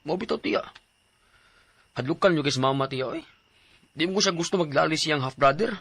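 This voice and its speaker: A man's voice: on the high side, normal speed, a bit bright. A man playing a frustrated, worried young grandson in an audio drama.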